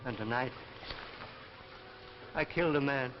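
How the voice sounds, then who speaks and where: An actor playing an anguished, guilt-ridden man burdened by a terrible power, halting and impassioned in 1950s television drama style. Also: mid-range voice, slight background noise, natural voice. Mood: guilty, grave. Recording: muffled 1950s TV soundtrack, faint music.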